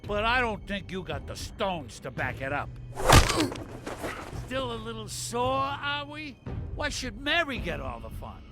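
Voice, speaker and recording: A man's voice: high-pitched, sing-song, measured pace, exaggerated voice. A man playing a cocky, foul-mouthed pawnshop thug in a video game, drawling spiteful, contemptuous taunts. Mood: taunting, cocky. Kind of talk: video game drama acting. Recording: music behind.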